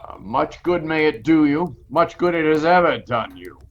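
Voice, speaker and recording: A man's voice: fairly high pitch, expressive, moderate pace, muffled recording. An actor playing an irritable, miserly old businessman in a radio play, barking with scorn and dismissing everyone. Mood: dismissive.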